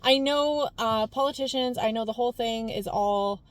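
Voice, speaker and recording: Woman vlogger, high natural voice, scattered casual delivery. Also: moderate pace. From Canada. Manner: rambling, jumps between points with many fillers. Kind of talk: casual talking-head commentary.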